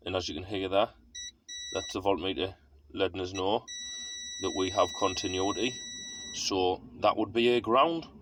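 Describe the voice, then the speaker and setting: A man's voice: low-pitched, steady tone, normal speed. A man giving a casual, self-deprecating hands-on demonstration, thinking aloud in an informal way as he works in a car. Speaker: car DIY video host. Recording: clear background noise.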